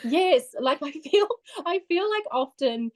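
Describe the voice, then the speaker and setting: New Zealand woman guest, young adult, friendly and animated, voice a touch high. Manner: friendly, animated, quick to laugh. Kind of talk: casual chat interview.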